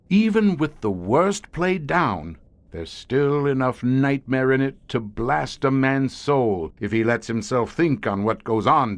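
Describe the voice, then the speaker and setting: A male actor plays a grim veteran hunter of monsters in an audio horror drama. His delivery is deliberate and slow, yet it swings widely in pitch. He speaks in ornate, haunted phrases with a dry, wry edge. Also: natural voice. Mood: grim, dread-filled.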